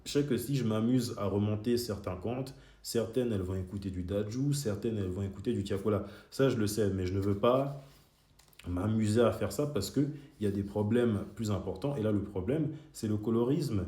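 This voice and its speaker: A man's voice: fairly low pitch, moderate pace, dark tone. A young man who grew up in Switzerland, speaking straight to his critics in a heated, argumentative monologue. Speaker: video creator. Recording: echoey room.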